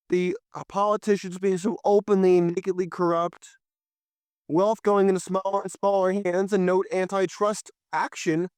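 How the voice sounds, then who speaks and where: Young male podcast host, hoarse and fired up, clear high voice, dry sound. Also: darkish tone, dry recording. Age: young adult. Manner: impassioned, preachy, addresses listeners directly. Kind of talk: solo political commentary.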